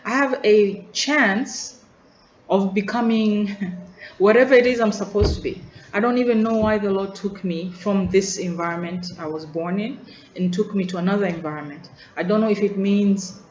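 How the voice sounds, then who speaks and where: A young woman with a Tanzanian English accent muses aloud, pausing and restarting as she searches for her words. Her voice sits at an ordinary middle pitch. It echoes around the room, and the recording is dull and lo-fi with a faint hiss underneath. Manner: musing, hesitant, with frequent restarts and soft trailing asides. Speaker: student vlogger. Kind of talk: reflective unscripted monologue.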